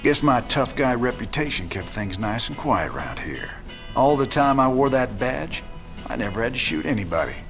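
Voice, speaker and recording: An actor playing a stubborn, brooding rebel outlaw in a game cinematic, with a rough American drawl and a dry, determined manner. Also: male voice, medium pitch, very animated, normal speed, a bit bright, faint music behind. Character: brooding rebel outlaw, former frontier marshal, stubbornly defiant. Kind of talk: video game cinematic acting.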